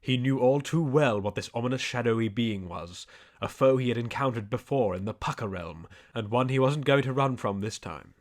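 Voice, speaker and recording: A man's voice: mid-range voice, moderate pace. A man reading scripted narration for a comic fantasy audiobook.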